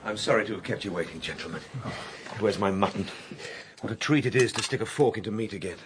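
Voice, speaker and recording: Male actor as Victorian gentleman scientist, low voice, earnest, precise, dramatic radio play storytelling. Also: fast talker, noisy background, lightly acted. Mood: hungry, insistent. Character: earnest Victorian gentleman inventor recounting his time travel.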